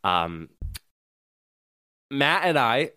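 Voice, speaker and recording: A man's voice: medium pitch, extremely slow, clean tone, no room sound, clean studio sound. A man co-hosting a casual chat podcast, talking loosely and laughing often. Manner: laughs often, animated and chatty. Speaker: podcast co-host.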